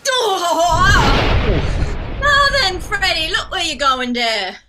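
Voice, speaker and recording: Cheeky, whining young street flower seller, stage play, high and very sharp-edged. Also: female voice, sing-song, extremely slow, soft background music, full character voice. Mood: indignant, cheeky. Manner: whining, wailing, ungrammatical street talk. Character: poor young flower girl, cheeky, loudly wounded. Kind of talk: theatrical staged play reading.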